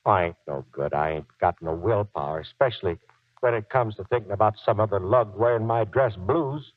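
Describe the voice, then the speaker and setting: An actor playing a gruff, boastful but soft-hearted old Marine sergeant and husband in a 1940s radio drama, with slangy, folksy, ungrammatical talk. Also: male voice, fairly low pitch, brisk, dry recording, natural voice. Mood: sheepish. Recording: dull, lo-fi 1940s radio recording.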